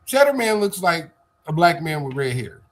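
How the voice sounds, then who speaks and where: Man pushing back hard in a live stream debate, bit higher voice, asks lots of rhetorical questions. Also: sing-song, moderate pace, fairly bright tone. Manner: assertive, rhetorical questions, stammers repeats. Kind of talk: casual debate chat.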